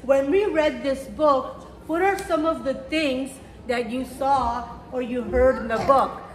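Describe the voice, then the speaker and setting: High-pitched, slow-paced woman storytime reader. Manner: simple, encouraging teacherly address to young children. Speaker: children's storytime reader and teacher. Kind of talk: read-aloud of a picture book for children. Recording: roomy echo with faint background noise.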